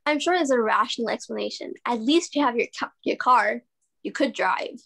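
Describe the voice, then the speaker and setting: A woman playing an upbeat, coaxing stranger in a comedy scene reading, encouraging and a little halting. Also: a little high, creaky, fairly bright tone. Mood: reassuring.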